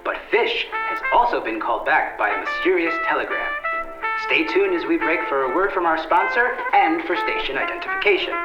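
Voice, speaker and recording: A dramatic vintage radio announcer, played by a man, narrating with big, lively swings in pitch. The voice echoes in dull old-broadcast sound. Loud music plays behind. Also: fairly quick.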